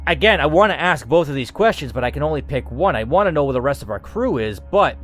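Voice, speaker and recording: A man's voice: fairly high pitch, animated, fast talker. A man reading a story game aloud as channel host, moving between dramatic narration and casual asides. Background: faint music.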